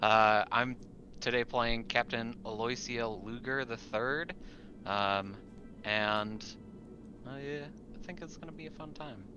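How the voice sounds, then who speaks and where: A man's voice: mid-range voice, takes it slowly, music in the background. A man chatting casually as a player introducing himself on a tabletop game show. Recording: dull, noisy voice-chat audio.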